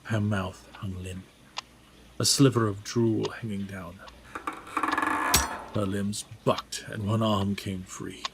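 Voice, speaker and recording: A man's voice: on the low side, level tone, takes it slowly, long pauses, heavy background noise. A man giving dramatic, scripted story narration.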